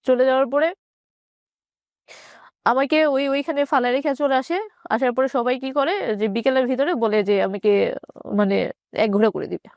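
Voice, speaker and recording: A woman with a Bangladeshi accent telling her personal story in an interview, haltingly and with repeated phrases. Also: high-pitched, a little mellow, no room sound.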